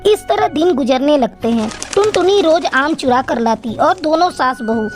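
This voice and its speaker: Female storyteller for kids' cartoon: high register, scripted narration over light music. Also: animated, moderate pace.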